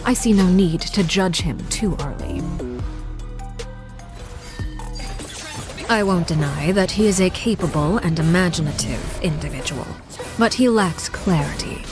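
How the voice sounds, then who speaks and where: Woman doing a cold composed game villainess, lowish voice, formal n measured, quietly menacing, music behind her. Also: lightly acted. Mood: composed, detached. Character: cold, composed, commanding woman, a Harbinger, judging her colleagues. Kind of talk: scripted video game character monologue.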